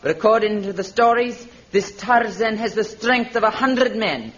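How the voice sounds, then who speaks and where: A woman's voice: a little high, lively intonation, normal speed, fairly bright tone, a little hiss or hum. An actress playing a merciless warrior queen in a vintage radio adventure serial, with commanding, haughty, formal pronouncements. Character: imperious, merciless warrior queen of women's fortress. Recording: muffled old radio recording, background din.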